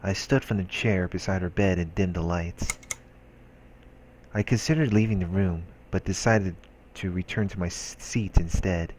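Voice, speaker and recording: A man reading a visual novel aloud as a solemn, hushed narration. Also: deep voice, normal speed, a little hiss or hum. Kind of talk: scripted narration read aloud. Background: game music.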